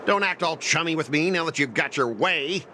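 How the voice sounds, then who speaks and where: An actor playing a self-important, blustering trade envoy in a video game, sarcastic and easily flustered. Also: male voice, fairly high pitch, moderate pace, background noise, close to an everyday voice. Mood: grudging, irritated. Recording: loud game music behind.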